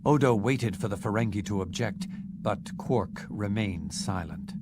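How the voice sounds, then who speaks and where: A man reading an audiobook in a measured, dramatic narrating style. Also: fairly low pitch, very noisy. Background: loud music.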